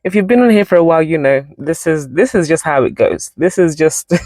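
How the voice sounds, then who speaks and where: A woman's voice: deep voice, lively intonation, brisk, warm tone, dry recording. A woman in her forties hosting a casual devotional talk, rambling and correcting herself as she goes. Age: 40s. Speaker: Bible study channel host.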